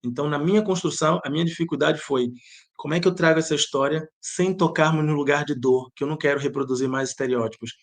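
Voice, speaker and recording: A man's voice: a little high, fairly even intonation, fast talker. Thoughtful Bahian man with Lisbon-tinged accent. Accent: Bahian Portuguese mixed with European Portuguese. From Bahia, Brazil. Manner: thoughtful, searching for words with many restarts. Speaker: playwright and director. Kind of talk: interview answer.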